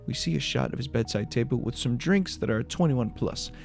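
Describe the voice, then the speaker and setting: Man, video creator, scripted breakdown narration, fast delivery, creaky edge. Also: mid-range voice, expressive, soft background music.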